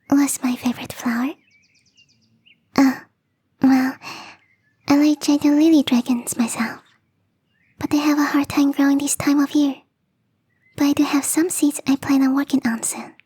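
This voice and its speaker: A woman playing a shy, playful young catgirl gardener in an intimate ASMR roleplay, speaking softly and hesitantly in a breathy half-whisper. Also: sits high. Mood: shy, hopeful. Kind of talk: ASMR roleplay, intimate one-sided dialogue.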